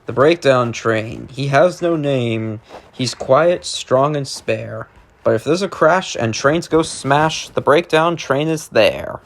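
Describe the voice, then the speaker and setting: A man's voice: medium pitch, slow pace. A man narrating children's rhymes as a read-aloud, reciting the verse in a sing-song way. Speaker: narrator, reader.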